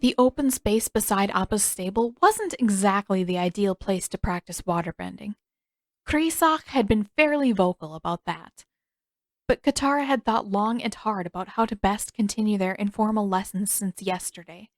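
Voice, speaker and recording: A woman reading scripted fiction as an expressive audiobook narrator. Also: medium pitch, moderate pace, no room sound. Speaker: narrator and author.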